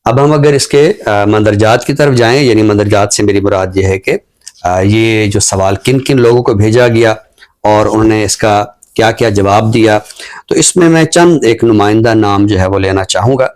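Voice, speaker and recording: A man with a Pakistani accent speaking in a formal, scholarly, measured way on a literary talk show, pausing mid-sentence. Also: lowish voice, darkish tone. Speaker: literary talk show guest and book reviewer. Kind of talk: unscripted book commentary and poetry recitation.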